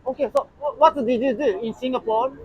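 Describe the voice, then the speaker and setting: A Japanese man with a high, light voice and a Japanese accent conducts quick street interviews in English. He asks brief, polite questions and thanks everyone often. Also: breathy edge, lo-fi sound. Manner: short polite questions, frequent thank-yous and soft laughs. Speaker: street interviewer and channel host.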